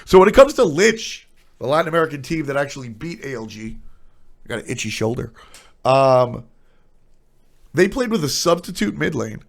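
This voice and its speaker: A man's voice: medium pitch, sing-song, moderate pace, vocal fry, pauses often. A man with a stuffy, nasal voice streaming casual, blunt opinions on esports, trailing off into asides.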